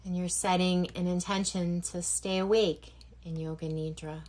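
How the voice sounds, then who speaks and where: A woman guiding a yoga nidra relaxation session as instructor, gentle and unhurried, leaving long pauses between phrases. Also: lowish voice, fairly even intonation. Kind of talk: guided meditation instruction.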